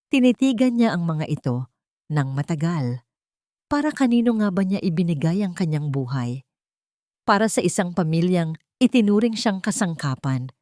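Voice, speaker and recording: A woman reading a dramatic audiobook story in a solemn, deliberate way. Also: lowish voice, darkish tone, no echo, clean studio sound. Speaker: audiobook narrator. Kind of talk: dramatic scripted story narration.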